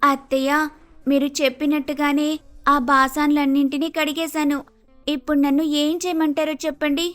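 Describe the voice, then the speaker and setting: A woman's voice: sits high, little pitch movement, moderate pace, soft background music, natural voice. A woman playing a polite, naive young daughter-in-law with an Andhra Telugu accent in a story cartoon, hesitant and easily confused. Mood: polite, innocent. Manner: deferential, hesitant, innocent.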